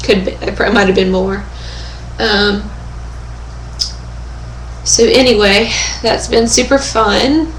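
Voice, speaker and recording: A young woman speaks slowly and casually into her webcam at home, her voice hoarse and fading. She fills her talk with pauses and keeps clearing her throat. The room echoes around her, with a faint hum of ambience behind. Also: medium pitch. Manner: chatty, many fillers and throat clearing. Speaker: vlogger. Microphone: webcam microphone.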